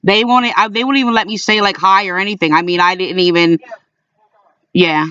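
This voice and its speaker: A man speaking informally as an aggrieved caller, in a halting, repetitive way, recording complaints about calls. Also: sits high, steady tone, bright, forceful tone, clean tone, no echo, distant mic, lo-fi sound. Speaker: caller recording own phone calls to a police dispatcher. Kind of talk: informal complaint to camera and on phone.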